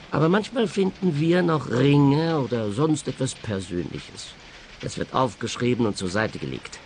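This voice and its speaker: Schauspieler als ernster Schrottplatzchef, leichte Hintergrundgeräusche. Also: male voice, medium pitch, background noise, natural voice. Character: earnest, matter-of-fact male scrapyard boss. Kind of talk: radio play dialogue.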